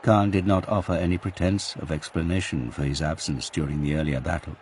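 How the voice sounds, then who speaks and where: A man's voice: rumbling low, steady tone, brisk, darkish tone, a little hiss or hum. A man giving dramatic scripted narration for an audio drama. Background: music.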